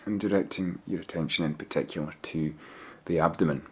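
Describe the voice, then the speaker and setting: A man with an extremely low, slightly husky voice guides a meditation. He speaks in a gentle, measured way, leaving pauses between short phrases. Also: fairly even intonation. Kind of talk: guided body scan meditation.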